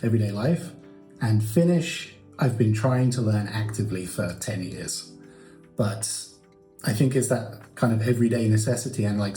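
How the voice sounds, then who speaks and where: A man and native English speaker chatting casually, relaxed and self-deprecating, thinking aloud as he talks. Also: on the low side, echoey room, poor audio. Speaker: guest. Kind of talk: casual conversation.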